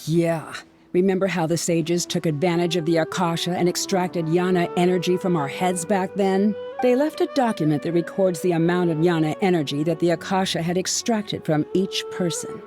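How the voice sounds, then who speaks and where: A woman's voice: on the low side, moderate pace, over music, natural voice. An actress playing a proud, irritable young woman in a video game, haughty and brusque. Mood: explaining.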